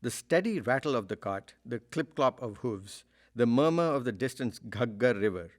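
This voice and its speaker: A man acting as an eloquent storyteller in a literary audio drama, narrating in a measured, ornate way. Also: medium pitch, expressive, close to an everyday voice. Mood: lyrical wonder. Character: eloquent male storyteller recalling a scenic journey with wonder. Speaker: voice actor.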